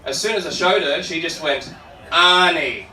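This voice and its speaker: High-voiced Australian male stand-up, echoey room. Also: fairly quick, pushed and bright. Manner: informal, sweary, chatty asides to the crowd. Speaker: stand-up comedian. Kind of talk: stand-up comedy routine to an audience. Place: live comedy venue with an audience. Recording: echoey, noisy room, distant microphone.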